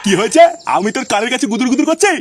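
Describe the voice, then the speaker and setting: A man's voice: very high-pitched, expressive, rapid-fire, a little hiss or hum. A man voicing a grumpy, crude, nagging middle-aged village father in a comic cartoon. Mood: scolding. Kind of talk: comic Bengali cartoon dubbing.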